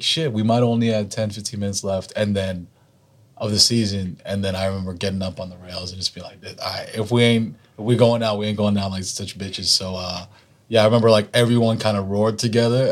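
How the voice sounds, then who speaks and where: A man co-hosting a casual backyard podcast, hoarse from cheering, animated and joking with some swearing. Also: on the low side, brisk. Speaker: podcast co-host.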